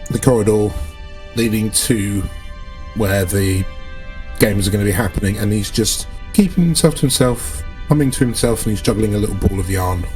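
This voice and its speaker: Mellow man playing a tabletop game. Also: fairly low pitch, moderate pace. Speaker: tabletop roleplaying player. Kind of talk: casual in-game description. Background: background music.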